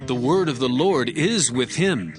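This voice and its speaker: A man's voice: mid-range voice, lively intonation, a little slow, faint music behind, close to an everyday voice. An actor playing a loyal, devout ancient king, earnest and declamatory, in a scripture drama.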